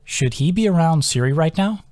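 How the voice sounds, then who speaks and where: A man's voice: fairly high pitch, expressive, normal speed, darkish tone, no echo, studio quality, pitched above the performer's own voice, lightly acted. A man voicing a thoughtful, frank young woman adventurer with a wry, questioning manner in an audiobook. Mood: concerned.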